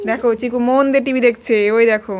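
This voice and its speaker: A woman's voice: a little high, fairly even intonation, fast, muffled recording, a little hiss or hum. A woman talks casually, as if filming a home vlog. She is playful and indulgent, addressing a small child and viewers and repeating little phrases back. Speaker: family vlogger filming a child at play.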